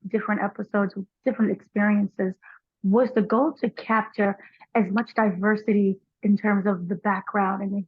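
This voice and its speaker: A woman's voice: medium pitch, slightly rough, no room sound. Woman interviewer on a tinny line. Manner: chatty, halting questions, relating to her own memories. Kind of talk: casual interview questions.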